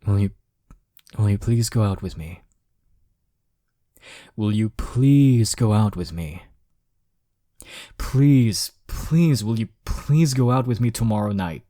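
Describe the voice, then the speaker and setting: Casting: male actor as teasing young love interest for romantic audio roleplay, cocky but easily flustered, unhurried delivery, very dry sound. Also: on the low side, expressive, warm tone, dry recording. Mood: flustered pleading. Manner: teasing, chuckling, sighing, stammering when flustered.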